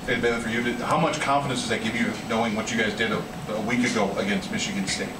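A man's voice: lowish voice, steady tone, fast talker. A man asking a sports reporter's press conference questions, rambling with restarts, picked up off-camera from a distance in an echoey room with noise. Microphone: off-camera, picked up from far.

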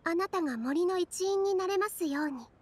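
アニメ調ゲームで、女性声優が演じる若い森の妖精。柔らかく優しい、ひたむきな話し方。. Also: female voice, extremely high pitch, expressive, moderate pace. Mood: solemn, blessing. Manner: soft, gentle, earnest. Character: gentle young female forest fairy, kind and earnest. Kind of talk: anime video game voice acting.

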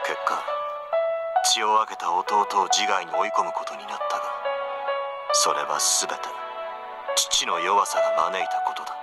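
An actor playing a proud, brusque young samurai warlord in a romance game, with a haughty, commanding, old-fashioned lordly manner. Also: male voice, low voice, moderate pace, pauses often, natural voice. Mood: grim, bitter. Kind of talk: dramatic visual novel acting. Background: loud music.

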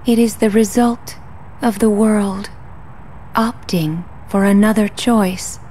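An actress playing a serene, regal goddess in a video game cutscene, speaking softly and formally with gentle resolve. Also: female voice, mid-range voice, steady tone, takes it slowly, slight background noise, close to an everyday voice. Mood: pensive. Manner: soft, formal, stately.

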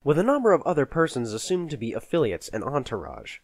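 A man's voice: medium pitch, wildly swooping pitch, fast talker, dry recording. A man playing a jaded, irreverent cartoon TV news anchor in a game, with formal announcer delivery. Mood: polished, formal. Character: jaded, foul-mouthed male TV news anchor. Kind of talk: mock news broadcast narration. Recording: noticeable background noise.

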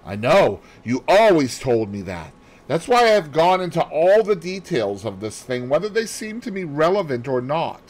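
A man voicing an earnest, easily nettled gentleman companion in an audiobook, puzzled and protesting. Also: a little high, big pitch swings, normal speed, voice pushed up. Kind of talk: audiobook character voice.

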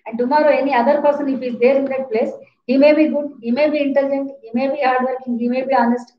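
A woman's voice: high-pitched, steady tone, quick pace. A woman in her fifties with an Indian accent, lecturing an online class in a didactic, repetitive way with questions to students. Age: 50s. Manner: didactic, repeats points, poses questions. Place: small plain room indoors. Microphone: webcam or laptop mic at a distance. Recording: roomy, echoing, dull laptop-mic sound.

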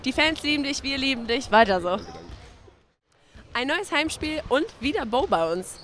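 A woman's voice: high-pitched, very animated, moderate pace, fairly bright tone. Young woman with a handheld mic asking upbeat post-game questions, bit of background hum. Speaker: interviewer. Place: indoor arena, in front of a sponsor wall.

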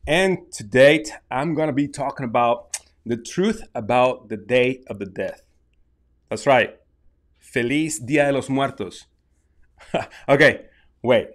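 A man in his thirties from Mexico with a Mexican Spanish accent, talking casually to camera in a small home studio, halting with many restarts. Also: mid-range voice. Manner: halting, many restarts and fillers, gestures with hands. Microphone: large studio mic on desk.

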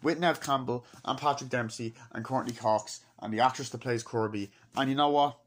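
A young man records a casual film review at his laptop in a small room. He rambles in an informal, excitable way and swears freely. He keeps slipping into quick re-enactments of dialogue as he talks. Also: mid-range voice. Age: 20s. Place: small wood-panelled room indoors. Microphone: laptop microphone at close range.

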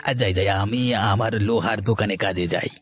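A man voicing a polite, flattering village working man in a cartoon, deferential and curious. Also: on the low side, brisk, a little hiss or hum, natural voice. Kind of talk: cartoon voice acting.

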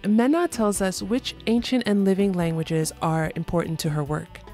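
A woman hosts an interview podcast with a slightly low voice and plenty of eager enthusiasm. She moves from a read introduction into easy, lively conversation, with faint music sometimes under her. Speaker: podcast host. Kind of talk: scripted intro then casual interview.

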